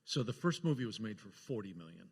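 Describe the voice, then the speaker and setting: A man with a lowish voice speaks as a producer on a panel, recalling past work in a casual way. The sound is live and reverberant, from a big hall. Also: big pitch swings, fast talker, gravelly, husky. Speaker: panel guest, film producer.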